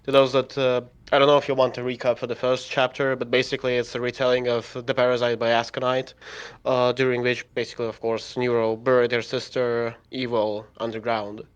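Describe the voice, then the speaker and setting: A man talking casually in a group voice chat, halting and repeating himself as he goes. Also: mid-range voice, flat delivery, clear, resonant tone. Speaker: fan fiction author and reader in a readalong.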